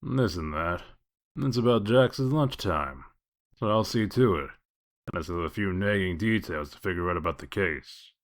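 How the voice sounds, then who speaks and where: A gruff comic-book detective acted by a man with a low voice. He talks in a dry, wry, evasive way, sardonic and unhurried to explain himself. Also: expressive. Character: gruff, sardonic male detective of exiled fables. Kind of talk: comic dub character acting.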